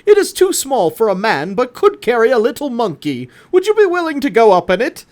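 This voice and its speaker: A man's voice: very high voice, wildly swooping pitch, a little fast, darkish tone, pitched above the performer's own voice, heavily acted. A man with a Southern US accent voicing a stern, pompous museum director in a children's storybook. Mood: formal, earnest.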